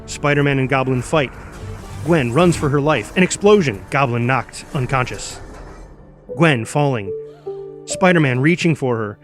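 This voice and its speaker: A man's voice: medium pitch, a little slow, soft background music. A man reading scripted stage directions in present tense, with a punchy, clipped, dramatic delivery. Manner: punchy, clipped delivery with exclaimed sound words. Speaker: stage-direction narrator at a table read.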